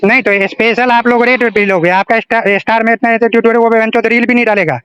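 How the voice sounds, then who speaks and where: A man in a heated phone argument, insistent and quick to talk over the other person. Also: extremely high pitch, steady tone, fairly bright tone. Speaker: disgruntled music client on a phone call. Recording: dull, lo-fi phone call recording. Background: another man arguing on the line.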